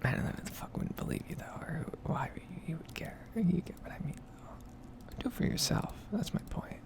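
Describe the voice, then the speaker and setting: A woman softly whispering a casual, rambling ASMR commentary over a game. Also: low voice, lively intonation, fairly quick. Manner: soft-spoken, rambling, casual asides. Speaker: gaming video creator.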